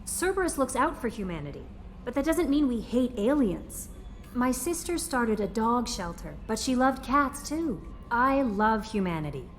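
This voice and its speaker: A woman's voice: fairly high pitch, very animated, normal speed, soft background music. A woman playing an earnest, personable young ship's aide in a video game. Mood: upbeat. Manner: eager, personable.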